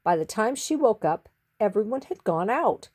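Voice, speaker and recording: A woman narrates a children's story with a very lively, varied pitch. She is theatrical and stretches out key words for emphasis. Her voice sits in a middle register. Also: moderate pace, husky.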